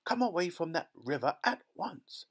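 A man voicing a fretful, bossy, panicky mother in an audiobook, in a shrill and exclamatory way. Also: sing-song, moderate pace, a bit bright, breathy edge, exaggerated voice. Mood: fussing.

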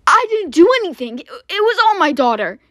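Menacing villain father for a toy-app drama, cowardly underneath, very animated, dry sound female performer. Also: very high voice, brisk, no room sound, full character voice. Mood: panicked pleading. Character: menacing male kidnapper father, cowardly when caught. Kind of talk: amateur toy-app roleplay voice acting.

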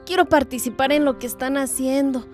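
A woman's voice: high-pitched, steady tone, fairly quick, soft background music, close to an everyday voice. An actress playing an eager, determined village woman in a radio soap opera.

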